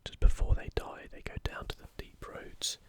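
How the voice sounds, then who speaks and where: A man speaking in a breathy, unvoiced whisper, giving soft ASMR commentary as he hosts a video. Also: normal speed.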